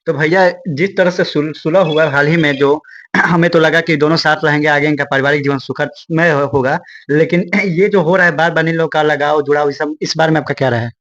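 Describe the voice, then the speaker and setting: A man's voice: a little high, steady tone, fairly quick, a bit bright, highs cut off. A man asking questions in an informal interview, deferential toward his guest.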